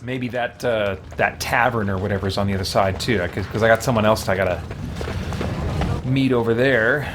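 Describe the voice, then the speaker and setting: A man's voice: lowish voice, moderate pace, noisy background. A man giving casual livestream game commentary, thinking aloud in a hesitant way. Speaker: game streamer. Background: faint game music.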